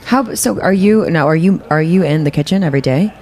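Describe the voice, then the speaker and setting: A woman's voice: low voice, fairly quick, a little mellow. A woman from Kentucky with an American accent, co-hosting a radio show in casual, informal and enthusiastic chat. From Kentucky, United States. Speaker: radio co-host.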